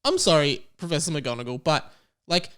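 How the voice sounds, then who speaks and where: A man with a fairly high voice hosts a podcast. He talks in a casual, comedic way, like someone chatting with a friend. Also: big pitch swings, normal speed, a bit bright, smooth. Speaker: podcast host and quizmaster.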